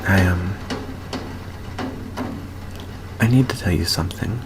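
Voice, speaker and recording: A man playing a nervous, earnest teenage boy vampire in an intimate ASMR roleplay, speaking haltingly with small stammers. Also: low voice, background noise. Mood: nervous, tender.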